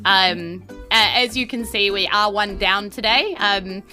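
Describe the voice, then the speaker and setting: A woman hosts a tabletop game show in a bright, forward voice. She is informal, theatrical and giggly, with music playing underneath. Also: mid-range voice, measured pace. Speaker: host and game master of a podcast.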